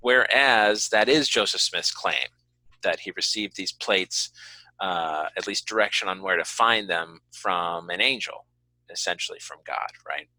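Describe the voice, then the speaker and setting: Bright, forceful man in casual conversation. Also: low voice, measured pace, dry recording, poor audio. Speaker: podcast host. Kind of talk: casual conversational interview talk.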